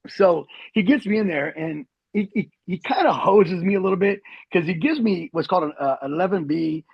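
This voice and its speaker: A middle-aged man, an Army veteran, telling long rambling stories in an animated way as a podcast guest. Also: high-pitched, brisk, gravelly, slightly rough, no room sound, dull audio. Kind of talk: storytelling interview.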